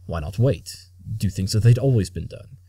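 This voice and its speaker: A man's voice: fairly low pitch, animated, normal speed, mellow, breathy edge, no room sound, clean studio sound. An actor playing a brilliant, imperious detective in an audio drama, condescending and impatient as he lays out deductions. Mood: smug, explaining. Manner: clipped deductive monologues, condescending.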